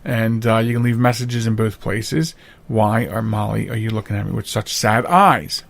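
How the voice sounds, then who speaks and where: A man's voice: lowish voice, normal speed, vocal fry. A man with a Philadelphia-area accent hosting a podcast in casual, teasing, jokey chat. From Delaware County, Pennsylvania, United States. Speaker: podcast host.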